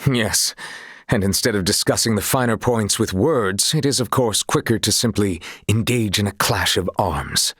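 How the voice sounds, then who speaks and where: An actor playing an earnest, courtly young sailor and swordsman of noble family in a video game, speaking in formal, old-fashioned phrasing with a husky voice. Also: lowish voice, moderate pace, fairly bright tone, dry recording, clean studio sound. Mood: confident, spirited.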